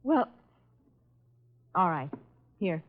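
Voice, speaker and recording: A woman's voice: lowish voice, sing-song, extremely slow, heavily acted. An actress playing a young woman in an old radio drama, guarded, curt and reluctant. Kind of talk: 1950s radio drama acting. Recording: dull vintage radio recording.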